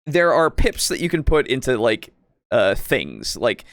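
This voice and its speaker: A man with a mid-range voice co-hosts a relaxed discussion. He talks informally and rambles, often stopping to hunt for the right word. The sound is dry, with very little room in it. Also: medium pitch, measured pace, dry recording. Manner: rambling, hesitant, searching for words; casual swearing. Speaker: podcast co-host. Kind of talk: casual tabletop roleplaying discussion.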